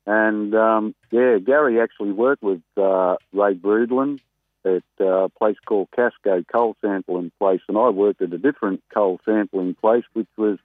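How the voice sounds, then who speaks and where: An Australian man, a greyhound trainer, chatting casually and excitedly as a guest on a phone interview. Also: on the low side, moderate pace, no room sound. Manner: chatty, rambling, excitable about racing. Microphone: telephone. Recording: tinny phone line.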